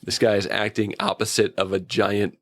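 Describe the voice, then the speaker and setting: A man's voice: fairly low pitch, level tone, normal speed, no echo, clean studio sound. A man chatting casually as a guest reviewer, with an informal, enthusiastic fan's way of talking. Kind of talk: casual episode review chat.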